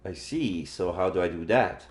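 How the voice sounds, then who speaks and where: A man's voice: deep voice, lively intonation, normal speed. A man streaming a visual novel, reading the narration and dialogue aloud as he plays. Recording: roomy echo, game music behind.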